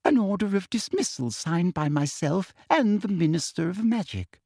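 A man voicing a prim, falsely sweet female school inspector who turns shrill and menacing. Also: lively intonation, normal speed, darkish tone, slightly rough, no room sound, clean studio sound.

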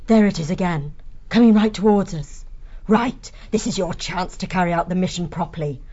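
A woman's voice: lowish voice, normal speed, dull audio, natural voice. Woman as a bossy vixen army captain. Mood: urgent, commanding. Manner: commanding, sharp-tongued. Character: bossy, hot-tempered female fox army captain. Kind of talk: dramatised audiobook storytelling.

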